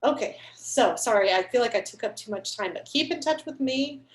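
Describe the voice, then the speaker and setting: A woman's voice: fairly high pitch, lively intonation, brisk. A woman admissions counselor giving a friendly, chatty presentation on a video call. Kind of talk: webinar presentation.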